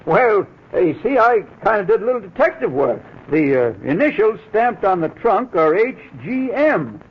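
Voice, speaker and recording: A man's voice: sits high, big pitch swings, moderate pace, breathy edge, exaggerated voice. An actor playing a plain-spoken working-class trucking clerk who hesitates and fumbles over his words in a 1940s radio drama. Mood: folksy. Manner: hesitant, full of uhs, ungrammatical. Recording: muffled old radio broadcast.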